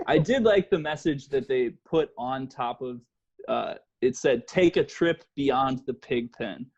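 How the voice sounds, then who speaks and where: A man's voice: mid-range voice, animated, measured pace, a little mellow, husky, lo-fi sound. A man hosting a casual comedy podcast, talking in a joking, mock-serious manner. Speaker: podcast host.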